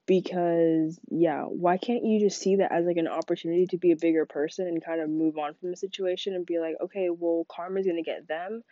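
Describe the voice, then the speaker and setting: A woman's voice: fairly low pitch, steady tone, brisk, hardly any pauses, dark tone, no echo, muffled recording. A young woman with a stuffy, congested voice, talking in a rambling casual monologue full of "like" fillers. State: congested from a cold. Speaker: podcast host.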